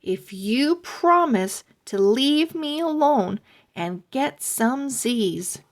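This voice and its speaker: A woman's voice: high voice, animated, drawn-out words, pitched above the performer's own voice, exaggerated voice. A woman voicing a bossy older girl speaking in a firm, emphatic way. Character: bossy older sister, spooky storyteller.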